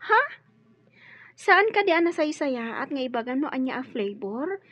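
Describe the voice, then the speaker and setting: A woman's voice: sits high, very animated, moderate pace, a bit bright, slightly rough, dry recording, highs cut off, full character voice. A woman with an Ilocano accent acting a sweet, polite young housemaid in a radio drama. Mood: respectful.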